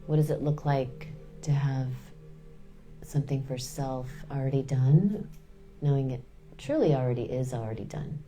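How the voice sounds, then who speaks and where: A woman leading a guided meditation in a soothing, unhurried way, pausing between short phrases. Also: rumbling low, warm tone, soft background music.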